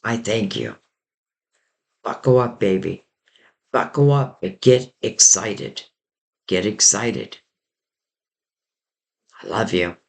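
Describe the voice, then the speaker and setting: A man giving a rambling, casual tarot reading while losing his voice and sounding hoarse. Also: fairly low pitch, slow pace, long pauses. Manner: rambling, loose and intuitive, many "like" and "you know". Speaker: tarot reader.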